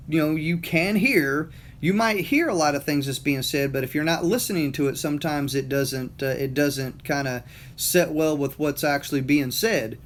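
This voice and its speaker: Rambling Southern man, slightly high voice. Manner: rambling, repeats words, lots of fillers. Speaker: motivational talker. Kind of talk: casual monologue.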